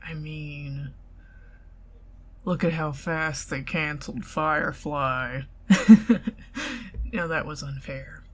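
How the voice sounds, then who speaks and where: A middle-aged woman with a Southern US accent, speaking softly and partly in whispers in an ASMR date roleplay. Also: deep voice, takes it slowly, long pauses. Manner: intimate, soft-spoken, giggly, partly whispered.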